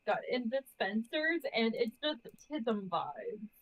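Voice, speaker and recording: A woman gamer with very lively, swooping intonation talks casually and bluntly. Her slightly high voice is close and dry, with no room sound. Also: slow, dry recording, narrow, tinny audio. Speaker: tabletop game player. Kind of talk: casual chat.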